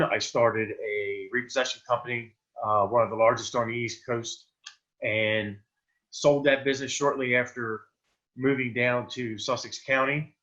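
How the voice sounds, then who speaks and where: A man's voice: medium pitch, a bit bright. Earnest man on a muffled video call. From Delaware, US. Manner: earnest, plain-spoken, many fillers. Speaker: political candidate. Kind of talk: campaign speech on a video call. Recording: dull lo-fi video call audio.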